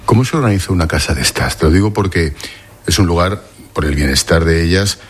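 A man, working as a reporter, interviewing a guest and asking brisk, direct questions. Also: sits low, expressive, breathy edge.

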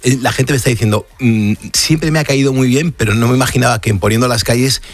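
A man hosting a radio show, enthusiastic, with lots of quick exclamations. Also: medium pitch. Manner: enthusiastic, repeats short exclamations. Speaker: radio host. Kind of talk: casual radio interview.

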